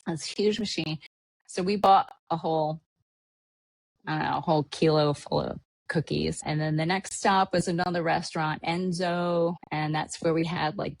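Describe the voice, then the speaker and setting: Podcast host, woman from Hawaii, lowish voice, dry close sound, casual rambling chatter with fillers. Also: lively intonation, normal speed, creaky, dry recording, rough recording. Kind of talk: casual travel recap chat.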